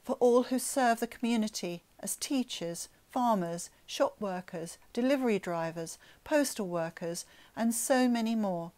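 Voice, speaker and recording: A middle-aged woman with a British accent leading an online church service in a measured way. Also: on the high side, darkish tone. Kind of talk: leading prayers, reading intercessions. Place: living room at home.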